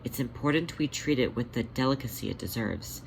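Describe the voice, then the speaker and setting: A narrator voicing a motherly female cat, gentle and reassuring, coaxing softly in an audio story. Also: mid-range voice, animated, brisk, gravelly, a little hiss or hum, poor audio. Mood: tender. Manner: soft, soothing, coaxing.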